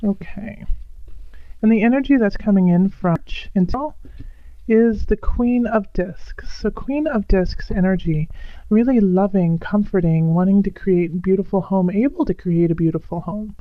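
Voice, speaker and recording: A woman reading tarot cards, hoarse and raspy from bronchitis, speaking calmly and drawing some phrases out slowly. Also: mid-range voice, dry recording, lo-fi sound. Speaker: tarot reader.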